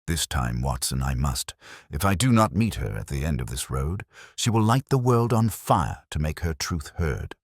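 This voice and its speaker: A resolute Victorian detective played by a male narrator, with an extremely low voice. He speaks in terse, formal phrases that rise and fall with solemn weight, as in a storybook mystery. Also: big pitch swings, moderate pace, breathy edge, no room sound, clean studio sound, exaggerated voice. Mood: grave resolve.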